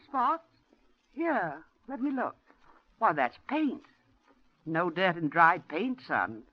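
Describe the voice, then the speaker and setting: An actress playing an older landlady who narrates and scolds in a brisk, old-fashioned way, in 1940s radio acting on a lo-fi old broadcast. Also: lowish voice, very animated, no room sound, heavily acted.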